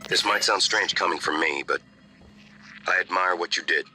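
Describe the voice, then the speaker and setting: An actor playing a calm, grave, thoughtful man in a video game, speaking in a measured, reflective way. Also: low voice, background noise, close to an everyday voice. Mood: grave admiration. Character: calm, grave, thoughtful man advising over station intercom.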